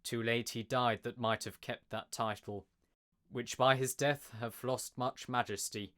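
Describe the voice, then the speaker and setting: An actor playing a brave, solemn young prince in Shakespearean audio drama, speaking formal verse earnestly. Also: male voice, medium pitch, steady tone, unhurried, sharp tone. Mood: solemn, wary.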